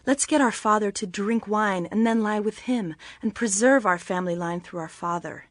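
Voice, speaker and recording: A scheming elder daughter, played by a woman, persuades in a medium-pitched female voice, recorded dry. She is resolute and conspiratorial, in biblical drama style. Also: normal speed, no echo, natural voice. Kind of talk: dramatized scripture audio drama.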